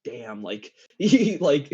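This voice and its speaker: A man in a casual gaming chat, speaking haltingly as a guest, repeating phrases and often giggly. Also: on the high side, mellow, husky. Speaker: interview guest, game map builder. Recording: dull lo-fi voice chat.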